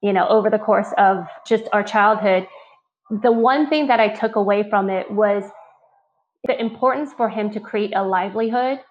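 A woman's voice: medium pitch, normal speed, dull audio, slight background noise. A middle-aged woman with an American accent, recounting personal memories conversationally as an interview guest. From born in Taiwan, grew up in Northern California. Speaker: interview guest, restaurant founder.

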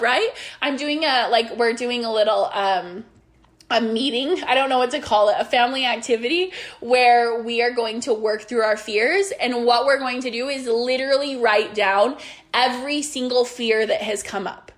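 A young woman coaching on business and belief in a casual, earnest monologue, rambling with restarts, her voice worn after being sick. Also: on the high side.

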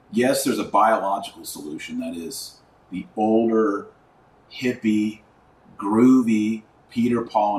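A middle-aged man giving informal religious commentary as a podcast host, speaking emphatically with pauses and drawn-out key words. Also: on the low side. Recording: roomy echo, distant mic, faint noise.

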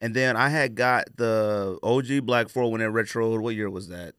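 A man's voice: fairly low pitch, normal speed, fairly bright tone, smooth, dry recording, clean studio sound. A man chatting casually and informally on a sneaker podcast, with plenty of slang.

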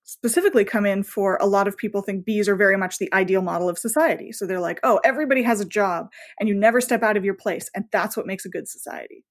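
Female podcast guest, mid-range voice, conversational, animated storyteller with nerdy enthusiasm. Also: mid-range voice, fast talker, few pauses. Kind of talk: casual interview conversation.

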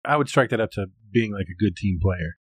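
A man chats casually as a podcast co-host. His voice is on the low side, and the sound is dry, with hardly any room in it. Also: expressive, a little fast, breathy edge, no room sound. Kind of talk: casual conversation.

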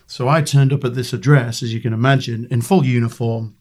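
Croaky man telling stories. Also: medium pitch, fairly even intonation, fairly quick, a little mellow. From England. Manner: anecdotal, self-deprecating, laughs easily. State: croaky from a cold. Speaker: guest, former detective. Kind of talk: casual storytelling interview.

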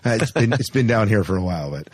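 Brief: husky, fairly low male podcast host, chatty, dry sound. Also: expressive, quick pace, dry recording. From United States. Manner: chatty, laughs often. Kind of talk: casual interview.

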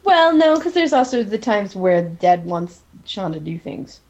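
A woman's voice: mid-range voice, very animated, a little slow, darkish tone, lo-fi sound. A woman in casual conversation as a co-host of a TV recap podcast.

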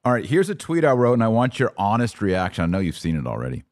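An American man hosting a conversational interview, emphatic and quick to interject and repeat his phrases. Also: on the low side, huge pitch swings, no echo, clean studio sound. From United States. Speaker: podcast host.

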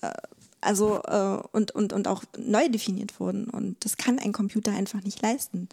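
A woman's voice: medium pitch, steady tone, normal speed, vocal fry, mellow, slightly rough. A woman explaining as an expert guest, hesitantly searching for her words. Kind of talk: explanatory conversation.

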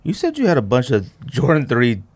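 A man's voice: medium pitch, huge pitch swings, a little fast, darkish tone, slightly rough. A man hosting a podcast in casual chat, putting in short, informal questions and reactions. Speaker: podcast host asking questions.

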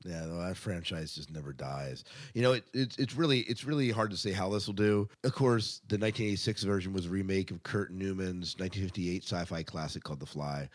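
Low-voiced man chatting as podcast host. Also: brisk, hardly any pauses. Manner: conversational, tosses questions to co-host. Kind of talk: casual movie news chat.

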